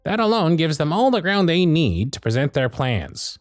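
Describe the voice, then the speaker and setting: Man acting a lively, gruff-but-fond elf supervisor. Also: fairly high pitch, no room sound, clean studio sound. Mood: stern, sardonic. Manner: brisk, teasing, bossy with soft asides. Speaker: voice actor. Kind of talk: ASMR roleplay audio drama.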